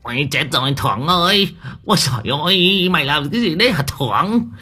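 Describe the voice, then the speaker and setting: A clumsy, skinny old man whose voice jumps high into shrill, stuttering cries, as a narrator puts him on. The sound is husky, and soft music plays underneath. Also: animated, fairly quick, exaggerated voice. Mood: shrieking panic.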